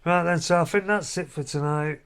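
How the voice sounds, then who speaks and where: A man's voice: fairly high pitch, brisk, a bit bright, smooth. A middle-aged man, a father chatting casually in a family vlog from a hotel room, rambling and down-to-earth. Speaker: vlogger, father.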